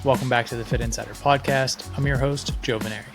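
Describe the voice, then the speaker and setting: A man hosting a podcast, reading a scripted intro then asking relaxed, friendly questions. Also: medium pitch, fast talker, over music. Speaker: podcast host.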